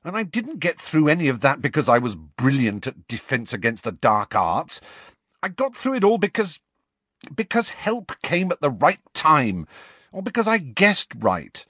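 A man's voice: a little high, wildly swooping pitch, normal speed, fairly bright tone, husky, no room sound, voice pushed up, heavily acted. A man reading aloud and voicing an angry teenage boy wizard, raised and insistent in his speech. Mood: heated.

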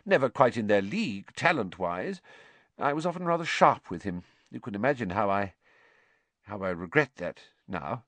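A man voicing a stern, brisk, grieving older female schoolteacher in a storybook. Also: on the low side, sing-song, fairly bright tone, no room sound, pitched above the performer's own voice. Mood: regretful, choked.

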